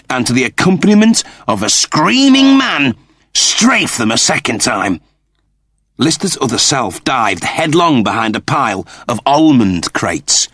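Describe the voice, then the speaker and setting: A man reading scripted fiction as an audiobook narrator, with animated, theatrical storytelling. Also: mid-range voice, dry recording, studio quality.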